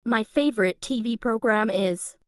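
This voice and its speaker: A woman reading an English lesson, saying each practice phrase twice in a slow, even way. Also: on the high side, no echo, studio quality.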